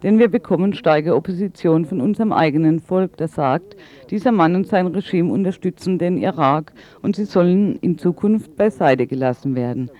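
A man's voice: sits high, moderate pace. A man working as an interpreter, delivering a spoken translation of interview answers. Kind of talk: consecutive voice-over interpreting. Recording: slightly dull radio sound.

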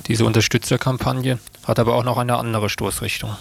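A man's voice: medium pitch, flat delivery, fairly quick, creaky, a little hiss or hum. A man working as a radio interviewer and reporter.

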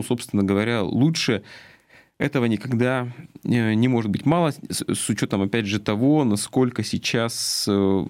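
Man from Russia giving halting political commentary. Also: mid-range voice, a little mellow. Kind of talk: spoken political commentary, semi-prepared talk.

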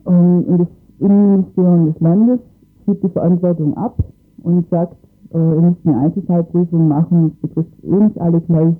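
Higher-pitched man on a phone-like recording. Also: little pitch movement, moderate pace. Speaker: interviewed expert on asylum cases. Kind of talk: radio interview, explanatory talk.

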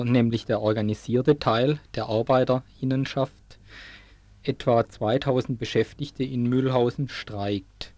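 A man delivers a scripted news report for radio. His voice is soft-edged and mellow, sitting at an ordinary male pitch. The sound is close and dry, with no sense of a room around him. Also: dry recording.

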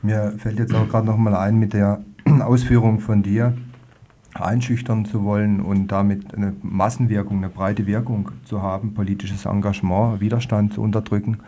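A man's voice: fairly low pitch, little pitch movement, normal speed, mellow. A man hosting a radio discussion, searching tentatively for his words. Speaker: radio host.

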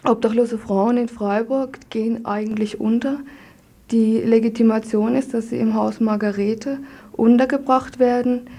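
A woman guest on a radio discussion, speaking in a studio. Her voice sits fairly high yet has a dark, soft tone, and she sets out her points plainly. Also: steady tone, normal speed. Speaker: studio guest, member of an activist group. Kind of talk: reading a letter and giving her view in a radio discussion.

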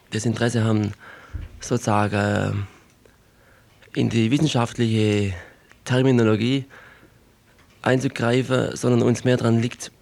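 A man, a musician, answering as a guest in an unscripted radio interview, reflective and wordy, with hesitant, halting phrasing. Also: medium pitch, gravelly, darkish tone.

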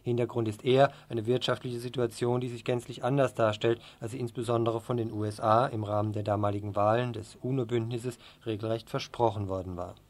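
A man's voice: medium pitch, fairly even intonation, a little fast, hardly any pauses. A man presenting a scripted news report on the radio.

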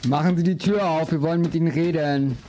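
A man's voice: fairly high pitch, fairly even intonation, fast talker, mellow, slight background noise, natural voice. A man playing a stern, demanding police officer in an amateur radio play, curt and commanding. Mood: stern, insistent.

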